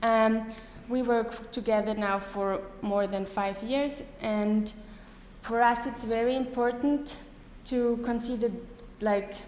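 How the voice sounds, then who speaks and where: A woman in her thirties with a German accent, giving a live lecture to an audience, pausing often with many ums and restarts. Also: a little high, fairly even intonation, a little mellow. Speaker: artist giving a talk. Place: lecture hall. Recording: echoey hall with faint room noise.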